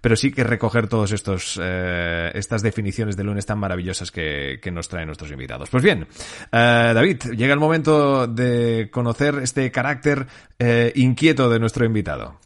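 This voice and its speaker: A male host with a low voice keeps the interview chatty and upbeat. He leans on a few "eh" fillers between his thoughts. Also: normal speed. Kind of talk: podcast interview hosting.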